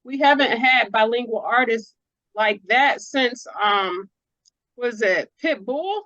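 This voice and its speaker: A woman's voice: on the high side, slow pace, pushed and bright, lo-fi sound. A woman hosting a casual interview, asking questions in a playful, informal way. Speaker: interviewer, host.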